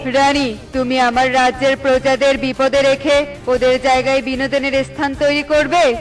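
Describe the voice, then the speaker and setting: A woman puts on a cartoon eagle king who is kindly toward his subjects and quick to indignation. The delivery is commanding and full of exclamation, with music playing behind. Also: sits high, little pitch movement, pitched below the performer's own voice, natural voice. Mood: reproachful. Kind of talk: children's cartoon voice acting.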